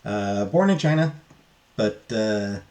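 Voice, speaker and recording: A man with a fairly low voice talks through a casual review. His delivery is unscripted and halting, with hesitant pauses and asides that trail off. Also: animated, darkish tone. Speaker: book reviewer.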